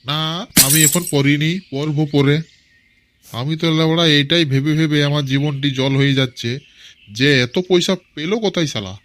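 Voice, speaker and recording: A man's voice: fairly high pitch, normal speed, fairly bright tone, slight background noise. A man voicing a foul-mouthed village father in a cartoon, grumbling and suspicious.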